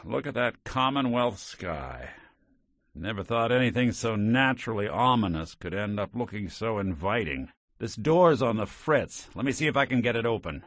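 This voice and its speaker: An actor playing a world-weary male synth private detective in a video game, with a gravelly voice and dry, wry noir delivery. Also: on the low side, a bit bright, no room sound. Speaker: companion character.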